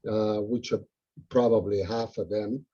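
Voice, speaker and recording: A man's voice: lowish voice, fairly even intonation, unhurried, warm tone, slightly rough, muffled recording. A man with an Italian accent, a local butterfly group organizer, talking earnestly and rambling with many fillers on a video call.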